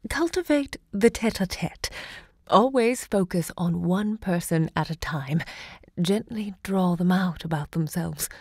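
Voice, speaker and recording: Elegant self-assured salon lady, lowish voice, very dry sound, audio drama, savours her words. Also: unhurried, a little mellow, slightly rough, no room sound. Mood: poised, instructive. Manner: poised, theatrical, savouring each word. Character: elegant, self-assured literary salon hostess, sapphic bohemian.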